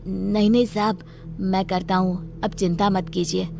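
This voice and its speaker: A woman's voice: mid-range voice, little pitch movement, a little slow, vocal fry, darkish tone, faint music behind. A woman voicing a humble, dismissive servant man in a cartoon.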